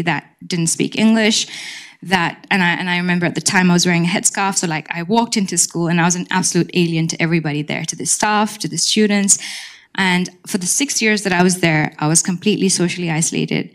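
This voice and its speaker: Earnest young woman telling her story. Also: on the low side. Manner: earnest, frank, reflective. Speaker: panelist sharing lived experience. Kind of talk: personal story on a panel. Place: university seminar room with audience.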